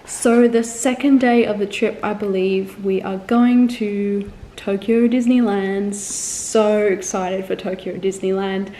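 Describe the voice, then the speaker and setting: A woman's voice: medium pitch, fairly even intonation, slow pace, mellow. A young Australian woman vlogging casually to camera, rambling with frequent ums and self-corrections. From Australia, Melbourne. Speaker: travel vlogger. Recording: echoey room with faint ambient noise.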